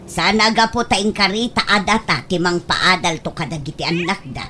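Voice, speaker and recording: An actress playing a frank, scolding elder sister in an Ilocano comedy radio drama. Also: female voice, lowish voice, fairly even intonation, normal speed, sharp tone, slight background noise. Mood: firm, advising.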